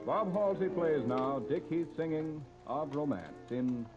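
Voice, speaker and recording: A man's voice: a little high, lively intonation, unhurried, over loud music. A man with an American accent reading polished, emphatic scripted copy as a 1930s radio announcer. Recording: dull, noisy 1939 radio transcription disc.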